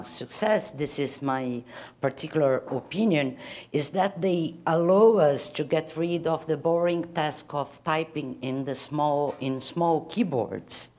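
A middle-aged woman with a Brazilian Portuguese accent, giving an academic conference talk from a stage in a measured, thoughtful way with frequent fillers. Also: deep voice, a little mellow. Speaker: conference speaker. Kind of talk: academic lecture. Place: stage of a lecture hall.

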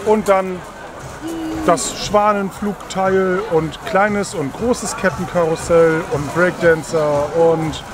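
A man's voice: high voice, fairly even intonation, slow. A man in his forties, chatting to a camera held at arm's length as a family vlogger outdoors in an amusement park. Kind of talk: casual vlog narration. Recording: outdoor crowd noise. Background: crowd noise.

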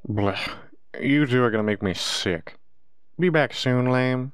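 A man's voice: medium pitch, measured pace, clean tone, no echo, clean studio sound, natural voice. A man playing a joking, easygoing werewolf brother in an audio drama, with a playful, teasing manner. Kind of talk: roleplay audio drama acting.

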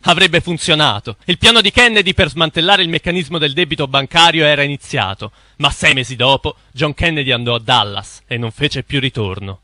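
An actor playing a grave, knowing cartoon mentor who explains in a solemn, didactic way. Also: male voice, fairly high pitch, a little fast, hardly any pauses, bright, forceful tone, no echo, studio quality. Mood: grave, explaining. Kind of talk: animated film exposition. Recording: music under the voice.

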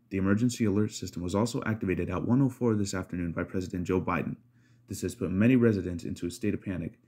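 Very deep, gravelly male news anchor. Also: warm tone. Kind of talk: scripted news report.

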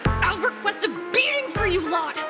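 A woman voicing a brisk, composed young corporate executive in an anime game. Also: very high-pitched, expressive. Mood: exasperated. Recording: loud music under the voice.

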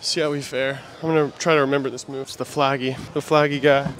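A young man talks quickly and casually, hyping up his friends as he vlogs. He speaks into a handheld mic inside a large climbing gym, keeping a mid-range voice and an upbeat, running commentary. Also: medium pitch, little pitch movement, low-quality recording. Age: young adult. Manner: fast, chatty, hyping up others. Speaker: vlogger. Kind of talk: casual vlog talk.

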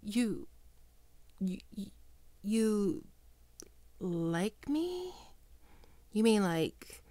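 A woman playing a guilt-ridden, tender young ghoul best friend in an intimate ASMR roleplay, speaking softly and haltingly with emotion. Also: mid-range voice, sing-song, no echo. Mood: hesitant, nervous. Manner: soft, hesitant, emotional, halting.

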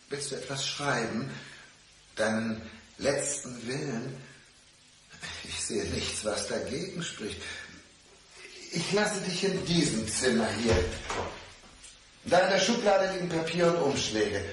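Bitter ex-husband bent on revenge, deliberate, mock-polite, mid-pitched, roomy radio crime drama. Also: male voice, fairly bright tone. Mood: calm, menacing. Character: bitter abandoned ex-husband, calmly menacing, set on revenge. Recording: roomy studio sound, faint noise.

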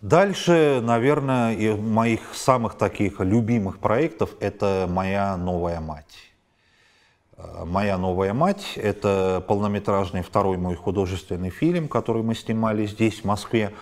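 A low-pitched man in his forties talks through an interview in a modern apartment. He piles up examples and pushes his emphasis higher as the list goes on. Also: a little slow. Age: 40s. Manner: animated, lists examples with rising emphasis. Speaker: film director. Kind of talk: interview answers. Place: high-rise apartment at night, indoors.

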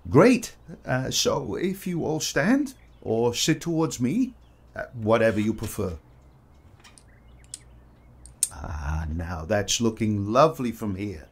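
Modest period photographer, lowish husky voice, polite, reassuring, slightly hesitant. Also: male voice, sing-song, a little slow, exaggerated voice. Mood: encouraging. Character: kindly, modest male portrait photographer. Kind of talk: period audio drama acting.

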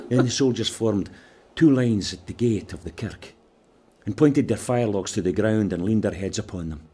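An actor with a Scottish accent playing a sorrowful, consoling brother-in-law in a radio drama, gentle and solemn. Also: male voice, on the low side, breathy edge.

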